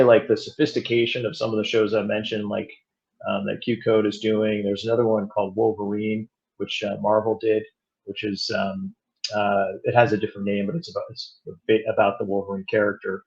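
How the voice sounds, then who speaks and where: A man chatting casually as an interview guest, thinking aloud in a halting, self-correcting way. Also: fairly low pitch, gravelly, lo-fi sound. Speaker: audio drama producer and director, interview guest.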